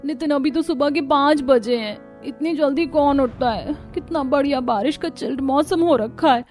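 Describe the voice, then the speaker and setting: A woman's voice: sits high, moderate pace, darkish tone, faint music behind, voice pushed up, natural voice. A woman voicing a put-upon young daughter-in-law in a family cartoon, with a wry, complaining manner. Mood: sleepy complaining.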